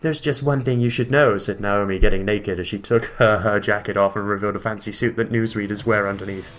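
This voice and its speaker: A man giving a comedic dramatic reading of a bad fan fiction, with loud voiced quotes. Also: lowish voice, a little fast. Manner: reads deadpan, breaks into laughter, voices quotes loudly.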